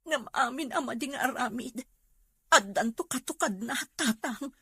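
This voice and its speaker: A woman's voice: high-pitched, moderate pace, a bit bright, breathy edge, no room sound, studio quality, full character voice. A woman with an Ilocano Filipino accent playing an emotional, earnest young woman in a melodrama. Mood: tearful pleading. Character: emotional young woman, daughter and loyal friend.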